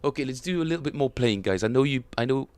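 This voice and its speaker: A man with a French accent, chatting casually and self-mockingly with viewers as he streams his bass practice, often chuckling. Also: expressive, quick pace, a little mellow, clean tone, no room sound. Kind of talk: casual livestream chat.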